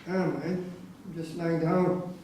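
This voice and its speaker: A man's voice: on the high side, steady tone, takes it slowly. An actor in a staged reading playing a withdrawn young male prisoner who answers tersely and evasively. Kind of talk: live staged play reading. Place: theatre-pub performance space, recorded from a distance. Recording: echoey stage recording from far off, noisy.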